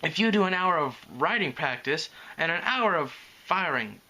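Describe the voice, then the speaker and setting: A young female storybook pony, voiced by a man, high and lively. She coaxes and teases her friend with dry sarcasm. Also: moderate pace, exaggerated voice, poor audio. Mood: coaxing.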